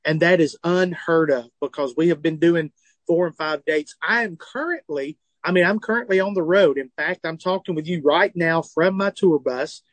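A man's voice: a little high, normal speed, no room sound. A man in his forties with a Southern US accent, a comedian and author telling his story in an interview from a tour bus. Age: 40s. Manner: animated, earnest, self-deprecating humor. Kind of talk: phone or remote interview, candid storytelling.

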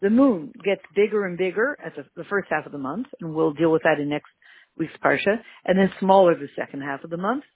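A teacher with a Canadian accent giving an emphatic religious lesson, repeating key words and jumping up in pitch for emphasis. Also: a little fast, husky, no room sound. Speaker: Torah teacher. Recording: tinny phone-like line, dry.

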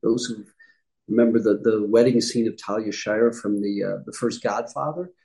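A man's voice: fairly low pitch, normal speed, vocal fry, dark tone, poor audio. A middle-aged man from upstate New York, a rabbi answering interview questions in a conversational, explanatory way, often correcting himself mid-sentence. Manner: explanatory, with frequent self-corrections and asides. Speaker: guest rabbi.

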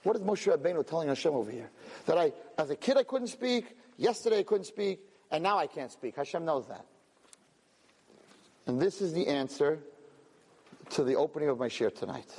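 A man giving a lively Torah lecture to a live audience, acting out pleading voices and mixing in Hebrew terms. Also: on the high side, normal speed, husky. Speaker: rabbi lecturer. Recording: long reverb of a hall.

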